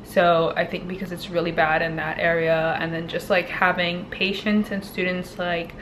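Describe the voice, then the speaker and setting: A woman's voice: fairly low pitch, level tone, moderate pace, a bit bright, a little hiss or hum, low-quality recording. Chatty young woman vlogging at home. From Hawaii. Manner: chatty, upbeat, many fillers like "um" and "like". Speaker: dental student vlogger. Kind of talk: casual vlog chat. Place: home, indoors.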